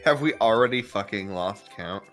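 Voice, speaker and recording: A man's voice: lowish voice, expressive, normal speed, a bit bright, soft background music. A young man wisecracking and swearing casually while racing with friends in a game. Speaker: gamer streaming with friends. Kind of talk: casual gaming banter.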